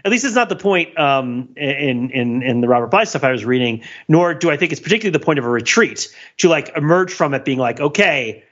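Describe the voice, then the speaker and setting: Male podcast co-host, higher-pitched, wordy and intellectual in casual discussion, slightly lo-fi sound. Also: expressive, brisk, few pauses, fairly bright tone. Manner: wordy, intellectual, frequently checks in with "right?".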